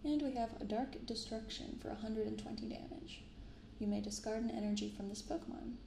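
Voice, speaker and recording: Soft-spoken, creaky-voiced young woman. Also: a little high, roomy sound, background noise. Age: young adult. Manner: unhurried, hushed commentary and reading from cards. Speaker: host of a card-opening channel. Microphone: binaural microphone.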